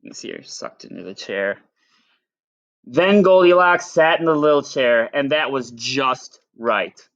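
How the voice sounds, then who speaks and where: A man reading a picture book aloud to children, expressive and playful, with dry asides. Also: mid-range voice, unhurried, dry recording, muffled recording. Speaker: storyteller.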